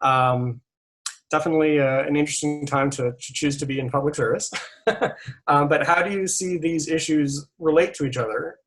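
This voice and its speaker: A man hosting a candidate forum, speaking haltingly with many restarts and chuckling between points. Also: medium pitch, low-quality recording. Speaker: forum host, moderator. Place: video call.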